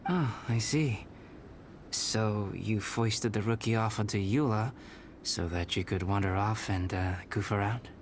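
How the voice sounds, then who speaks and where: A man voicing a calm, composed young male alchemist and painter who explains things in a measured way, in a video game cutscene. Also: lowish voice, a little hiss or hum. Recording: game cutscene with background music.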